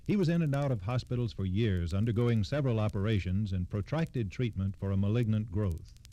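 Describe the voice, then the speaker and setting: Very dark, mellow American man narrating radio. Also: fairly low pitch, fairly quick. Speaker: radio storyteller. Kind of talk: scripted old-time radio narration.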